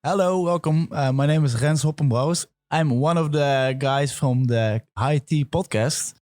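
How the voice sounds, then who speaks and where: A Dutch man, a podcast co-host, chatting in a friendly, eager way with halting phrasing. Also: fairly high pitch, darkish tone, no echo, studio quality. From Netherlands. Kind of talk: casual chat.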